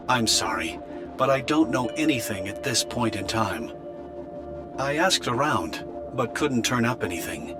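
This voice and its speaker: An actor playing a polite, guarded project director in a video game, speaking formally and courteously. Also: male voice, mid-range voice, very animated, slow pace, long pauses. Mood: apologetic. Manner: formal, courteous, smooth official. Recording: background music under voice.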